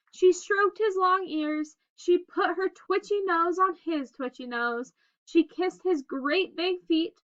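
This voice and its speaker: A woman with a high-pitched, bright voice reads a picture book aloud for young children. Her delivery is expressive and simply phrased, as a storyteller's. The recording is a little dull and lo-fi. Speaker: read-aloud host reading a picture book.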